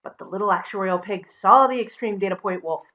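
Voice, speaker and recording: A woman presenting as a storyteller, mixing expressive storybook reading with casual asides. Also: medium pitch, fairly quick, dull audio.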